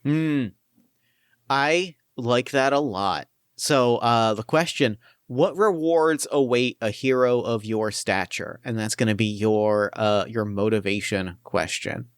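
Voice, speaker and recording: A man running a tabletop game as game master, thinking aloud and pitching story ideas with eager restarts. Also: takes it slowly, clear, resonant tone, dry recording, clean studio sound. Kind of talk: collaborative planning for a tabletop game.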